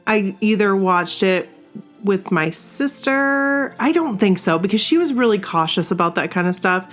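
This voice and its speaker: A woman's voice: medium pitch, animated, moderate pace, fairly bright tone. Maine-accented female co-host with a sore throat; nasal, rambling, casual, faint music bed. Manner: chatty, rambling, self-deprecating. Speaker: podcast co-host. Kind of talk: casual chat.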